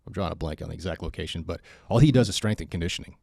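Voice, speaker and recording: A man's voice: low voice, very fast, gravelly, darkish tone, no room sound, clean studio sound. A man who teaches personal training, chatting casually on a podcast in a chatty, self-deprecating way with many restarts.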